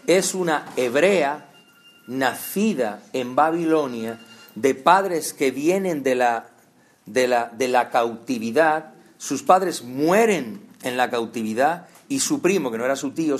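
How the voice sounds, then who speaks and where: Chatty preacher, man. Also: medium pitch. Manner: conversational, addresses listeners directly with rhetorical questions. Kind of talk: sermon.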